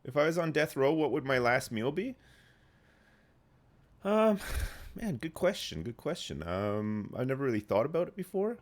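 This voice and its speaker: A man's voice: medium pitch, big pitch swings, normal speed. A man in his thirties streaming a game live, phlegmy and rambling as he answers chat. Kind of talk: casual live gameplay chat.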